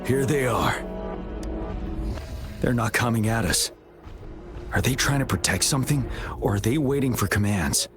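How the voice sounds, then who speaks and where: A man voicing a composed, resolute military commander in a video game, measured and solemn, with music behind him. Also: mid-range voice, expressive, lightly acted. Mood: solemn, watchful.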